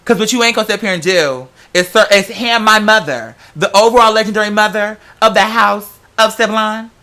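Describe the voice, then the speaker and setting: A man's voice: high voice, fairly quick, pushed and bright. A man telling stories casually to the camera in a sassy, theatrical, slangy way with plenty of swearing. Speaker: vlogger.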